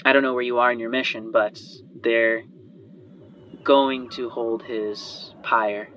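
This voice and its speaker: A man's voice: medium pitch, little pitch movement, takes it slowly, fairly bright tone, slight background noise. A man as an eager, rambling female inventor, muffled. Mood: subdued, worried. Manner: hesitant, rambling, trailing off and sighing. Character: eager, chatty female inventor, worried and loyal. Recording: muffled band-limited audio under music.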